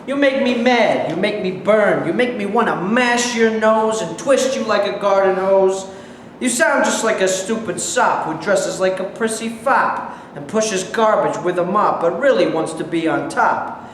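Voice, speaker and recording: A man's voice: sits high, unhurried. A man acting in a staged play reading as a belligerent, jealous tough guy, taunting and confrontational. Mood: menacing, taunting. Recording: very echoey hall, faint background noise.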